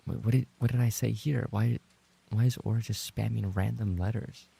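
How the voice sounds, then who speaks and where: A man's voice: lowish voice, fairly even intonation, moderate pace, creaky, mellow, slightly rough. A man livestreaming and chatting casually with his viewers, bantering in a playful, mock-indignant way. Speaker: live streamer and voice actor.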